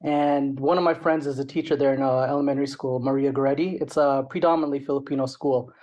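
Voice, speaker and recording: A man's voice: medium pitch, steady tone, quick pace, darkish tone, dull audio. A middle-aged man with a Canadian accent talking casually as an interview guest, rambling with many "like" and "you know" asides. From Toronto, Canada. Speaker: interview guest, rapper and songwriter.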